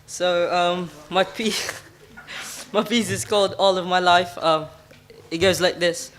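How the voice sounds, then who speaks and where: A man's voice: high-pitched, flat delivery, slow, fairly bright tone. A teenage boy reciting his own rhyming poem in front of a group. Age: teens.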